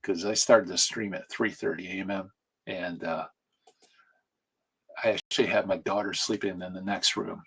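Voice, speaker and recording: A man talking quietly and soothingly as he lectures on a live stream from home at night. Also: deep voice, steady tone, moderate pace, vocal fry, long pauses, slightly rough. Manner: hushed, soothing delivery. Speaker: streamer teaching on Bible canon. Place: home at night, family asleep nearby. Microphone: streaming mic.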